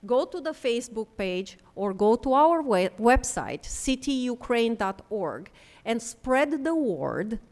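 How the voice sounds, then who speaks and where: A woman giving a conference talk, halting now and then with ums and restarts as she speaks. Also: a little high. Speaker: panel speaker for a refugee housing group. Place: conference room. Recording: long hall reverb.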